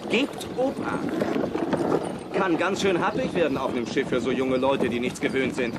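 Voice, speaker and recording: A man's voice: medium pitch, big pitch swings, normal speed, very noisy, lightly acted. An actor playing a sly, good-natured old seafaring coachman in a children's radio play, rambling and folksy. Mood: cautionary. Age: older. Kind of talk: German children's audio drama acting.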